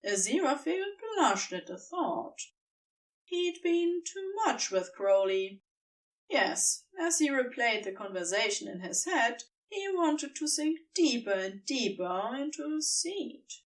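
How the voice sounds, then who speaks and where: A woman narrates a story in a fairly high voice. Her delivery is unhurried and deliberate, with lively swings in pitch and dramatic pauses for effect. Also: distant mic, rough recording. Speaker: audiobook narrator. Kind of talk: expressive scripted fiction narration.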